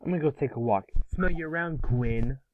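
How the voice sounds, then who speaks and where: A man playing a sulky, sarcastic and excitable boy in a cartoon script read. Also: medium pitch, brisk, phone-quality sound, natural voice. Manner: sarcastic, grumbling.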